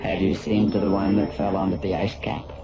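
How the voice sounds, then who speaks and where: A man playing an ailing, weary alien military commander in a science fiction radio drama, speaking gravely and haltingly. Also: sits low, fairly even intonation, background noise. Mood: grave concern. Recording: dull lo-fi radio recording, music behind.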